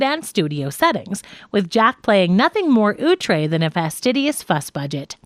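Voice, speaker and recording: A woman's voice: medium pitch, no room sound, clean studio sound. A woman reading a nonfiction audiobook as scripted narration.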